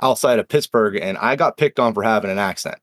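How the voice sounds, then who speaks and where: A man's voice: lowish voice, fast talker, dry recording, clean studio sound. A man from Nova Scotia with a Canadian accent, chatting casually and swearing freely as a cast member of a game podcast. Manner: laid-back, swears freely, tangents. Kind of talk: casual chat.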